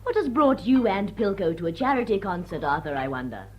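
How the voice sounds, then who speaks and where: Period drama society dame: husky, effusive, upper-class English, sweeping intonation. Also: female voice, mid-range voice, very animated, a little fast, lo-fi sound. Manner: theatrical, effusive. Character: gushing, commanding English society hostess. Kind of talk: period drawing-room radio drama acting.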